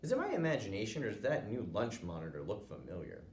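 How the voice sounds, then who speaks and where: A man's voice: on the low side, wildly swooping pitch, fast talker, gravelly, darkish tone, heavily acted. A man voicing a smug young school bully in a storybook, mocking and falsely friendly. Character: Dylan, smug taunting schoolyard bully boy. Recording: roomy echo, noticeable background noise.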